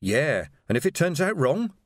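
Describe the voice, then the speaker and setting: Guy doing a gossipy grumbly servant, higher voice, bouncy. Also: very animated, moderate pace, no echo, studio quality. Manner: chattering and gossipy.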